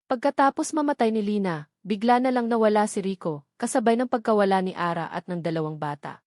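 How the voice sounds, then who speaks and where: A woman's voice: mid-range voice, brisk, darkish tone, no room sound, studio quality. A woman with a Filipino accent narrating a dramatic love story from a script.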